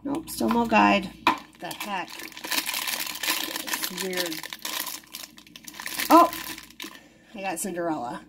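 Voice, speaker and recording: A woman's voice: medium pitch, very slow, gravelly, pauses often, very noisy. A woman chatting casually while unboxing toys at a table, easily delighted and giggly. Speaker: toy unboxing vlogger. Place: indoors at a wooden table. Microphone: camera over the table, hands-only.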